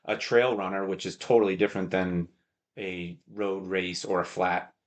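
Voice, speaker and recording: A man with a deep, low-pitched voice hosts a casual podcast conversation. He speaks in a chatty, encouraging way and explains his points much as a coach would. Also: flat delivery, normal speed. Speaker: podcast host.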